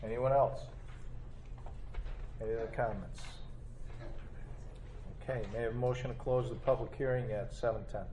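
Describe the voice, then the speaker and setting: A man chairing a local board meeting, running the agenda in a brisk, procedural way. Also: mid-range voice, fairly even intonation, mellow, a little hiss or hum, low-quality recording. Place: meeting hall.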